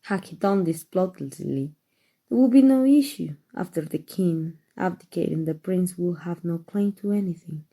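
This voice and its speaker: Woman acting a dark-voiced, refined vampire noblewoman. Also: lowish voice. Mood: disdainful, troubled. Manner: formal, refined, measured, doting speech. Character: powerful, old, aristocratic female vampire, protective and tender. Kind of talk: ASMR roleplay acting.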